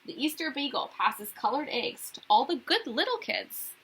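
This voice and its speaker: A woman's voice: sits high, big pitch swings, normal speed, a bit bright, voice pushed up, poor audio. A woman reading a storybook, voicing an earnest, stubborn little boy who insists on what he believes. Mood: certain.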